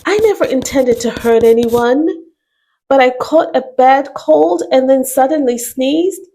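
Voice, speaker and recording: A woman's voice: sits high, a little slow, mellow, voice pushed up. Sniffly baby dragon, as a woman puts him on. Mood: apologetic. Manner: sorry and pleading. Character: sick, apologetic baby boy dragon, huge.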